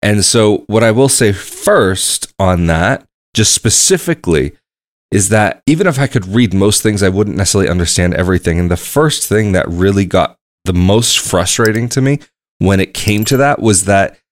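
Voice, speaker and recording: A man's voice: on the low side, lively intonation, moderate pace, dry recording. A young adult man hosting a travel podcast, talking alone in a rambling, conversational way and thinking aloud as he goes. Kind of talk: casual solo monologue.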